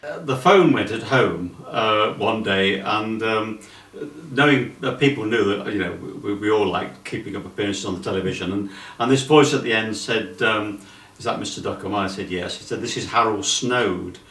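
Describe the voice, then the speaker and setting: Older male, English accent, low-leaning voice, anecdotal delivery, distant echoey room sound. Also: normal speed, fairly bright tone. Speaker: theatre member telling an anecdote. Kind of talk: casual anecdote.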